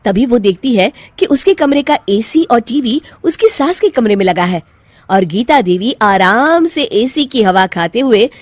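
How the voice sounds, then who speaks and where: Female narrator for animated tales, scripted storytelling, high register, dry studio-like sound. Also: measured pace, no echo.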